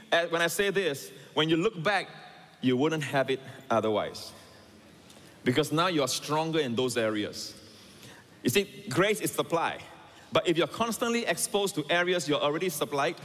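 A man's voice: a little high, fairly bright tone. A middle-aged man from Singapore, raised in Malaysia, preaching a sermon with emphatic asides and calls to the congregation. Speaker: pastor. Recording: long reverb in a large hall.

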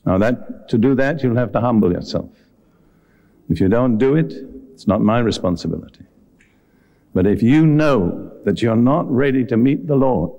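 Male preacher, mellow dark tone, mid pitch, earnest, deliberate, direct sermon delivery. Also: lo-fi sound. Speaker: visiting preacher. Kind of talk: sermon with Bible teaching and altar call. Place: live before a congregation at a meeting.

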